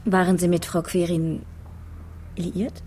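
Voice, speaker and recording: Probing, low-pitched woman detective. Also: little pitch movement, takes it slowly, mellow, slight background noise. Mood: polite, questioning. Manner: probing, businesslike. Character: probing, matter-of-fact female police detective. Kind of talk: naturalistic German radio crime drama acting.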